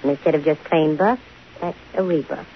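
An actress playing a dry, wisecracking, self-possessed young private detective in a 1950s radio drama. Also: female voice, low voice, a little fast. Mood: cool, wistful. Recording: hissy, muffled 1950 radio broadcast.

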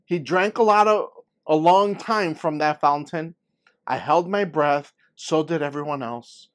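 Storybook narrator: earnest young girl, read by a man, dramatic delivery, raised pitch. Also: lightly acted. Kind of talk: scripted picture-book read-aloud.

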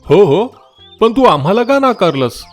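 Man as a high, earnest little myna bird. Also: sing-song, moderate pace, soft background music. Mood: curious. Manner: earnest questioning. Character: male myna bird, small, puzzled, earnest. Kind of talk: children's moral story cartoon voicing.

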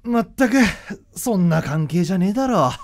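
A man playing an arrogant, bitter young doctor in a radio drama, speaking in a rough, curt, casual way. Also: sits high, animated, moderate pace, slight background noise. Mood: dismissive. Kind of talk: radio drama acting with inner narration.

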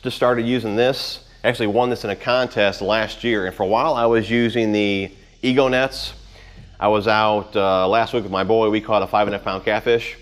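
A man in his thirties giving a casual, unscripted walkthrough to camera in an informal, rambling way, with pauses as he handles gear. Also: fairly low pitch, steady tone. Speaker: vlogger giving a boat tour. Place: home garage with the door open.

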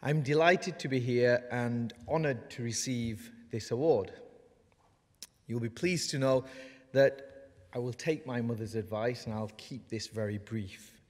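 Earnest male speaker, measured delivery, big reverberant hall. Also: medium pitch, a little mellow. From North West England. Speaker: award recipient giving a graduation speech. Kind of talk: prepared ceremonial speech. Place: large wood-panelled ceremony hall. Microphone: lectern microphones.

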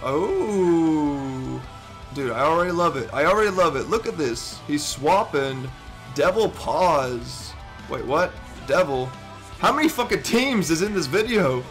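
A hoarse young man in his twenties, with his voice nearly gone, giving excitable, swear-filled reaction commentary from a headset in his bedroom. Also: fairly high pitch, measured pace, soft background music. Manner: excitable, swears often, stammers and laughs. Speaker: YouTuber reacting to a gaming montage. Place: bedroom indoors. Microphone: over-ear headset.

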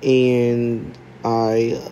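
A teenage young man from the United States giving an earnest, deliberate self-introduction as a contestant. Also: mid-range voice, completely flat delivery, dark tone, muffled recording, a little hiss or hum. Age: teens.

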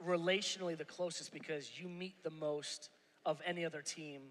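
A man with a high, bright voice hosts the interview in a playful, conversational way. His questions carry a long reverb from a large church hall. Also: fairly even intonation, brisk. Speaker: interview host. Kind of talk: casual interview chat.

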